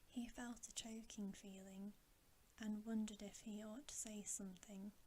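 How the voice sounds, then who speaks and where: A woman reading a bedtime story as ASMR in a breathy whisper. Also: mid-range voice, mellow, slight background noise, poor audio.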